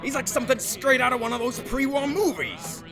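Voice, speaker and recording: A man's voice: extremely high pitch, steady tone, a little fast, gravelly. A man acting as a folksy, wisecracking space-western barkeep with a drawling, theatrical delivery. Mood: wry, gossipy. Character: folksy, wisecracking male space-saloon barkeep, showy salesman. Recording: music under the voice.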